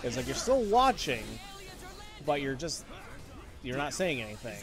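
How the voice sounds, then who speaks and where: A young man rambles through a game stream, restarting his sentences and bluntly airing opinions. His pitch swings widely as he talks. Game music plays underneath. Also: big pitch swings, unhurried. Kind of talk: casual game commentary with chat replies. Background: game music and sound effects.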